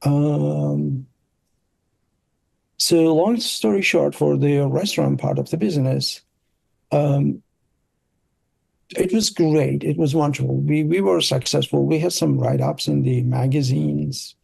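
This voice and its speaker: A middle-aged man with a Turkish accent, telling stories casually as an interview guest, often halting and searching for words. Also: fairly high pitch, creaky, darkish tone, breathy edge, no echo. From Turkey. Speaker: interview guest, product designer. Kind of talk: casual conversational storytelling.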